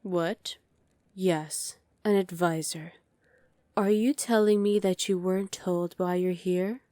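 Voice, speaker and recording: A woman's voice: fairly low pitch, fairly even intonation, takes it slowly, mellow, no echo, studio quality. A woman playing a dominant evil sorceress queen in an ASMR roleplay, commanding and condescending with cool menace. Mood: coolly imperious. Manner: commanding, condescending, coolly threatening.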